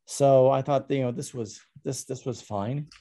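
A middle-aged man talking casually about his artwork on a video call, halting with many ums and restarts. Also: medium pitch, warm tone, slight background noise. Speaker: artist presenting his work. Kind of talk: casual talk over a slide presentation.

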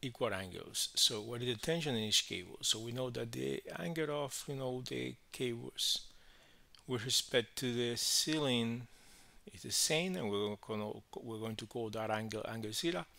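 A man teaching physics, explaining a worked problem step by step in halting phrases with frequent verbal checks. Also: medium pitch, gravelly, sharp tone.

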